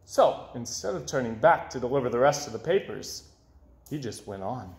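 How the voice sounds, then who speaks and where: A young man reading a children's story aloud in an expressive way, with dramatic pauses. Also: mid-range voice, creaky. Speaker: storybook reader. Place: small indoor room with bookshelves. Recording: roomy, echoey indoor sound.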